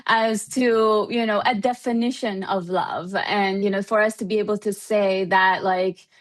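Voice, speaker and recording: A woman's voice: mid-range voice, fairly even intonation, a little slow. A woman from Iran, a researcher, explaining her work in an interview in a thoughtful, hedging way peppered with "you know.". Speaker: researcher and professor being interviewed.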